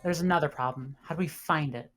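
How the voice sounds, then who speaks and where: A woman's voice: low-pitched, fast talker, fairly bright tone, soft background music. A woman playing a blunt, practical spaceship officer in a sci-fi script table read. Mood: businesslike.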